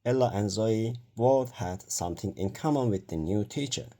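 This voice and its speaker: A man's voice: lowish voice, normal speed, mellow. A man reading a children's bedtime story aloud in a careful manner, stumbling now and then over words.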